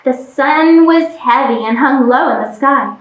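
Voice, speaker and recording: A woman reading a picture book aloud to children as a storytime host, with expressive, deliberate delivery. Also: high-pitched. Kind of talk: animated children's read-aloud. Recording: echoey room.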